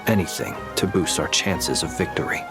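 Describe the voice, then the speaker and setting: A man playing a courteous, reserved ally in a video game cutscene, speaking in measured, formal phrases over music. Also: deep voice, lively intonation. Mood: composed. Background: background music.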